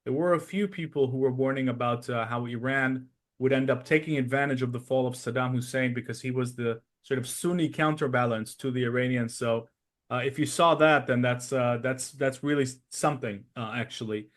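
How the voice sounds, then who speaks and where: A man with a mid-range voice hosts a current affairs podcast. He speaks in a measured, explanatory way, pausing now and then as he lays out his commentary. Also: medium pitch, steady tone. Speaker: podcast host. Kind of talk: interview and news commentary.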